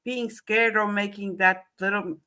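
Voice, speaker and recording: Estonian-accented woman host, bright clear tone, friendly interviewer, casual questions. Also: mid-range voice, fairly even intonation, a little slow. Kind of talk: online interview.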